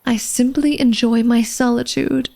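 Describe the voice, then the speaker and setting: A woman's voice: on the high side, steady tone, measured pace, vocal fry, a little mellow. A woman playing an elegant, secretive vampire lady of a manor in a flirtatious ASMR roleplay, speaking formally and coyly to the listener. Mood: teasing, dismissive. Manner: formal, genteel, coy, teasing speech addressed to the listener.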